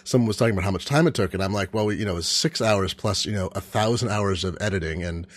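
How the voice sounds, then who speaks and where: A man with a lowish voice talks quickly and casually in a panel chat. He explains eagerly, repeating words for emphasis. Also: no room sound.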